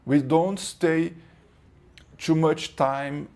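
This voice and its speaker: A man with a Brazilian Portuguese accent, a spiritual teacher speaking to camera in English, his second language, halting and searching for words. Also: a little high, fairly even intonation, darkish tone.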